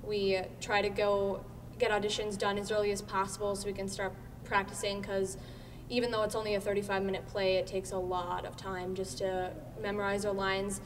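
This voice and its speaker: A teenage young woman explains things earnestly, in the informal way of a student interview. Her mid-pitched voice comes from a distance in a reverberant space, with a faint rumbling noise underneath. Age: teenager, high school student. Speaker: student actor being interviewed. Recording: echoey hall, distant mic, rumbling noise.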